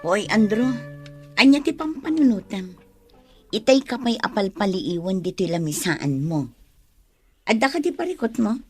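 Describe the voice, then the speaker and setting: A woman's voice: medium pitch, big pitch swings, moderate pace, pauses often, soft background music, close to an everyday voice. A woman with an Ilocano accent acting a frank, doubting co-worker in a radio drama. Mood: brisk, chiding.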